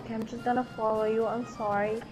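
Woman, high voice, whiny, playfully dramatic, casual gaming chat, faint music. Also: level tone, fast, rough recording. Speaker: inexperienced player in online squad game.

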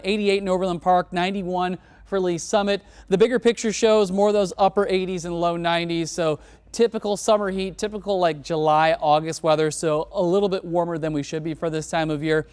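A man in his thirties presenting a TV weather forecast in a studio, talking in a relaxed, conversational way on a lapel mic. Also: high voice, clean tone. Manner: relaxed, conversational delivery with casual words. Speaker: TV meteorologist. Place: TV studio in front of weather graphics.

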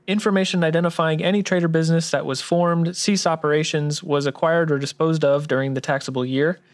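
A man's voice: a little high, level tone, a little fast, lightly acted. A man playing an obliging tax explainer sidekick, giving orderly scripted explanations in a radio drama. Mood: matter-of-fact. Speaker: tax expert co-host.

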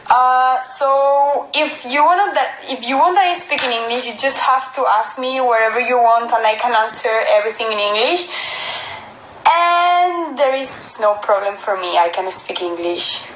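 A woman's voice: high-pitched, a bit bright, roomy sound, poor audio. Woman in her twenties, Spanish accent, casual livestream chat, phone at arm's length, faint hiss. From Spain. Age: 20s. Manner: switches between Spanish and halting English, answers viewers' comments. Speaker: model on a livestream. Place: indoor room at home. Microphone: phone held at arm's length.

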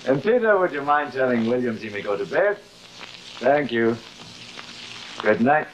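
An actor playing a proud, guilt-ridden middle-aged thespian in theatrical, halting 1950s television drama style. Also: male voice, medium pitch, lively intonation, natural voice. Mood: stiffly composed. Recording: noisy old 1950s television soundtrack.

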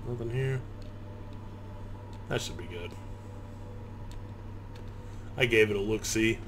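A man's voice: on the low side, takes it slowly. A thirty-year-old man giving casual gameplay commentary, swearing, griping and poking fun at himself. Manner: sweary, griping, self-deprecating. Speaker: gamer, video host. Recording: clear game ambience noise behind. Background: horror video game sound.

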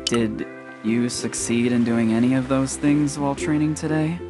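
A man's voice: mid-range voice, level tone, moderate pace, music in the background, lightly acted. A man voicing a serious, curt young fighter in an anime game, stern in his delivery. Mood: stern, dismissive.

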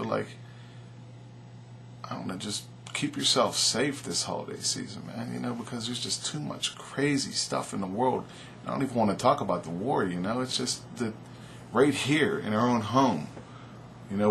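A man in his thirties talks straight into a webcam from a couch in a small room, his low, loud voice rambling earnestly and dotted with "man" and "you know". A faint hiss of room noise sits under the homemade webcam sound. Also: creaky. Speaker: vlogger. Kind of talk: casual opinion vlog to camera.

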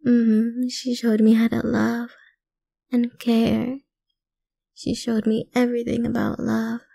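A woman playing a possessive, lovesick mafia boss's daughter in an ASMR roleplay, speaking softly and breathily, often slipping into whispers, with sweet menace. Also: a little high, flat delivery, no room sound, clean studio sound, heavily acted. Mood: calmly menacing. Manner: soft, breathy, slow, often dropping into whispers. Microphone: close mic, dry.

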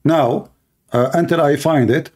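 A man's voice: a little high, unhurried, a little mellow. A man hosting an online debate, combative and mocking, repeating his demands. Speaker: debate host.

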